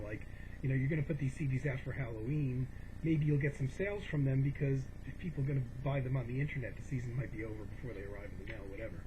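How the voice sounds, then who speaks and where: Rambling man with clear background noise. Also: mid-range voice. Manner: rambling, hesitant, often backtracking. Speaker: video host showing a personal CD collection. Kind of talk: casual unscripted show-and-tell chat. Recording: noisy home video recording.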